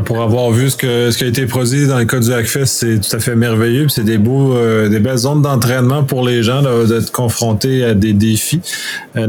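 Man hosting, mid-pitched voice. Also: darkish tone. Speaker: interviewer, host. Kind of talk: conversational interview hosting.

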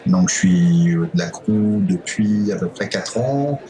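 A middle-aged man with a French accent from France, answering interview questions informally in a halting, digressive way with frequent self-corrections. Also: low voice, animated, mellow, soft background music, low-quality recording. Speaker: conference speaker, cybersecurity specialist.

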